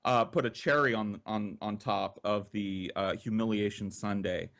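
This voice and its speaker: A man's voice: on the low side, fairly even intonation, moderate pace, vocal fry, a bit bright. A man telling comic personal stories as a podcast co-host, with theatrical emphasis and casual swearing.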